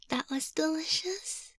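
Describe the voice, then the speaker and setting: A woman playing a playful, sweet young vampire mistress in an ASMR roleplay, soft-spoken and teasing, often slipping into a whisper. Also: high voice, no echo, exaggerated voice. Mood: satisfied, sweet.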